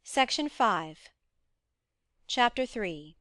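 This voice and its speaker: A woman's voice: fairly high pitch, animated, drawn-out words, a bit bright. A woman reading scripted audiobook announcements.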